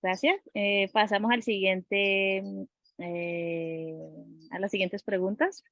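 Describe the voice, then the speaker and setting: A woman interviewer asking questions conversationally, with hesitant, halting phrasing. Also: medium pitch, fairly even intonation, fairly bright tone, no room sound, dull audio, soft background music.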